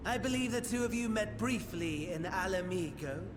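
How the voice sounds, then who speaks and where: Game villain: high-voiced, cruelly polite aristocrat, theatrical and mocking, over music. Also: male voice, lively intonation, normal speed. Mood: smug. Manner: theatrical, genteel, mock-polite delivery. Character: suave, mocking aristocratic male villain, cruelly courteous host. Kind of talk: scripted video game acting.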